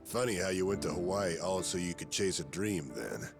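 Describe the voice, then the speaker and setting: An actor playing a stoic, world-weary old gangster veteran in a video game, speaking in a terse, dry, understated way. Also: male voice, deep voice, normal speed, over music. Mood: wry. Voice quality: gravelly. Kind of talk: video game cutscene acting.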